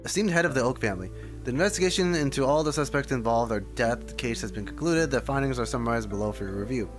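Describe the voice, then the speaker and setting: A man's voice: mid-range voice, fast. A man giving casual live commentary on a game, muttering offhand asides and skimming text aloud. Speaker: streamer reacting to a game story. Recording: faint game music behind. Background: video game music.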